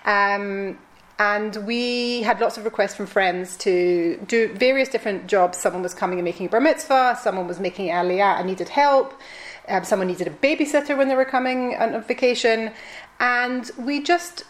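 A woman's voice: mid-range voice, moderate pace. A Scottish woman in her forties with a Glasgow accent, chatting as an interview guest with frequent ums and restarts. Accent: Glaswegian Scottish. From Scotland. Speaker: guest, concierge company owner. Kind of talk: conversational interview.

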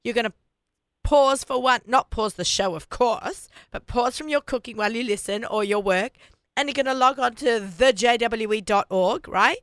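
A woman hosting a radio show, chatty and enthusiastic, with a hoarse voice, talking in a studio. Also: on the high side, moderate pace, a bit bright, no room sound. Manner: enthusiastic, chatty, laughs often. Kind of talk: casual radio chat.

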